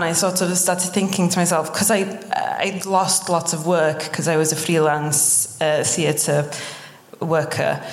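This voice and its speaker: A Welsh-accented woman, a native Welsh speaker, talking earnestly and personally as a guest on a live podcast, sometimes searching for words in English. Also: on the low side. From Wales. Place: indoor live venue stage. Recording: roomy live stage recording.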